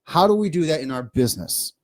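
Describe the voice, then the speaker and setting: A man with a Midwestern American accent co-hosting a business podcast, talking in an animated, emphatic way and listing points off one by one. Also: on the high side, quick pace. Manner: animated, emphatic, lists things off. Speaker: podcast co-host. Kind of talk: casual business chat. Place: studio.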